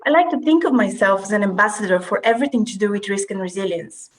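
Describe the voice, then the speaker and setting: A woman with a Bulgarian accent, a podcast guest, telling her story in a measured, detailed way with some hesitations. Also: medium pitch. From Bulgaria, Russia and Cyprus. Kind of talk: conversational interview.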